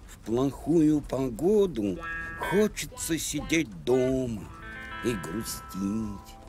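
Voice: medium pitch, lively intonation, takes it slowly. An actor playing a timid, gloomy, apologetic big male elephant in a children's cartoon, speaking hesitantly and dolefully. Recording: loud music behind.

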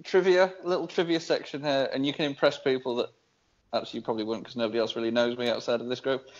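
A man's voice: medium pitch, fairly even intonation, fast. A man guesting on a podcast, telling a story in a dry, wry way. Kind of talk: casual chat. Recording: dull lo-fi sound.

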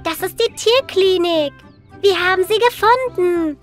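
A woman's voice: extremely high pitch, measured pace, soft background music. A woman voicing a curious, caring little girl who wonders aloud expressively in a German children's cartoon. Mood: cheerful, pondering.

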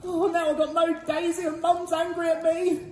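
Woman as pantomime boy hero: British, very high voice, naive, excitable, earnest, echoey stage sound. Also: moderate pace. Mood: dejected. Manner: earnest, excitable, exaggerated stammering when flustered. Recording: echoey theatre hall, faint backing music.

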